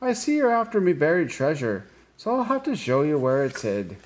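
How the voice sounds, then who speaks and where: A man's voice: wildly swooping pitch, normal speed, a little hiss or hum, poor audio. A man voicing a sly, tiny old male leprechaun shoemaker who speaks sharply and whines, in a storybook reading. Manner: sharp, wheedling, high-pitched.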